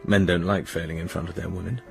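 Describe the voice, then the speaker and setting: An actor playing a suave, self-assured British secret agent in a radio drama, dry, urbane and teasing, with a British accent. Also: male voice, low voice, level tone, fast, vocal fry, faint music behind. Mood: frank, flirtatious.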